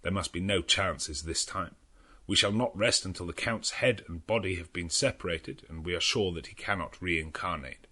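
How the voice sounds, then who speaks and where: A man voicing a grave, resolute gentleman doctor with a measured, formal manner, in a gothic audiobook. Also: deep voice, breathy edge, pitched below the performer's own voice, full character voice.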